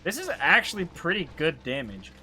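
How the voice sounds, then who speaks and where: A Canadian man commentates live on his own gameplay in a bright, forceful voice. His pitch swings widely as he rambles in slang and thinks aloud, with some noise behind him. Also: very animated, normal speed. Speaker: game streamer.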